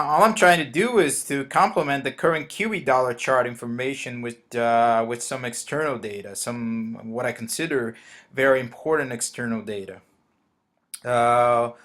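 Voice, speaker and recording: A man from Brazil, a native Portuguese speaker with a Brazilian Portuguese accent, walks through a currency trade at his computer in a hesitant manner, pausing often and restarting sentences. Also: medium pitch, a bit bright. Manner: hesitant, many fillers and restarts. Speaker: forex trader. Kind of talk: screen-recorded trading walkthrough.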